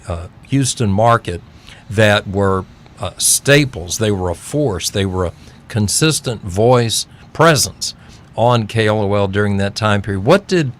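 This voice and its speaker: A man interviewing a guest, putting questions in halting, measured phrases. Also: on the low side.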